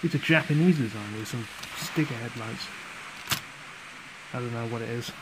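A man's voice: mid-range voice, moderate pace, heavy background noise. A man narrating a homemade toy-train story in a rambling, offhand, crude comedic way, with music behind. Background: background music.

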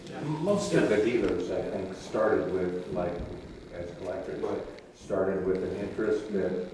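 A man's voice: low-pitched, measured pace, very echoey, noisy background. A man on a collectors' panel making dry, offhand remarks, recorded by a far camera in a gallery room. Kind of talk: casual panel discussion.

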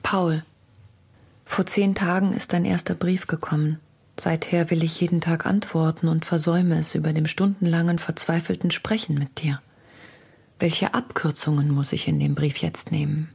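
An actress playing an anguished woman poet, reading her letters in an intimate, earnest, breathy half-whisper. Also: low voice, fairly even intonation, normal speed, a little mellow, heavily acted. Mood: anguished, tender.

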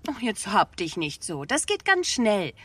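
A firm yet helpful mum in a children's radio play. Her voice is lively and fairly high, slightly away from the mic. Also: a little slow, husky, no room sound, recorded from a distance, exaggerated voice. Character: strict but helpful mother of a schoolboy. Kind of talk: German children's audio drama acting.

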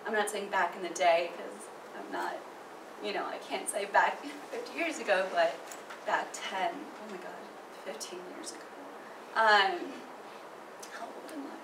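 A young woman whose first language is not English, telling a childhood story in a chatty way with many ums. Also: medium pitch. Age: young adult. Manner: chatty, many ums, laughs between phrases. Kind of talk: casual personal anecdote. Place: small indoor room by a theatre poster. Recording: roomy, distant, noisy.

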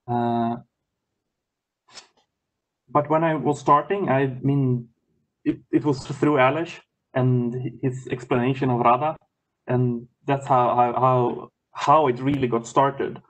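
A man's voice: medium pitch, fairly even intonation, a bit bright, poor audio. A man from Sweden with a Swedish accent, speaking English as his second language, talking as a guest on a video call and often restarting his words. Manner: halting, many restarts and repeated words. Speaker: guest lecturer.

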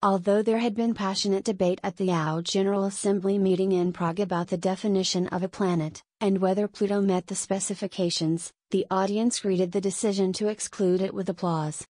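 A woman's voice: mid-range voice, steady tone, a little fast, hardly any pauses, dark tone, dry recording. Woman reading steadily, dry sound. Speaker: sample answer reader. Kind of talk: exam read-aloud sample answer.

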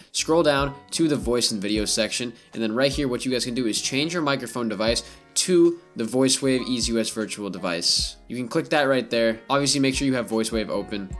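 A young adult man narrating a software tutorial as a YouTuber. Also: medium pitch. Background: soft music.

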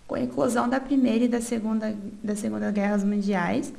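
A woman's voice: mid-range voice, steady tone, fairly quick, creaky, dark tone. A young woman from Brazil reading a student research presentation over slides, with small restarts and a filler "é" before each new part. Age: young adult. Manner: reads steadily from notes with small restarts and filler "é".

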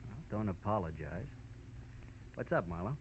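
A man's voice: low voice, expressive, normal speed. A man playing a slippery, impatient American newspaperman in an old radio crime drama, glib, curt and slangy. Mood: offhand. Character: cocky, slippery, impatient male newspaper reporter. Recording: dull, faintly noisy old radio recording.

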